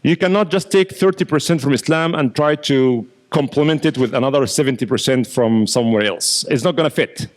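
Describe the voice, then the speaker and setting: A man teaching as an imam, talking at a mid pitch and working through his points with patient explanations. He often repeats small words while he gathers his thoughts. He speaks as a lecturer taking questions from a room. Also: brisk, few pauses. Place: mosque lecture hall.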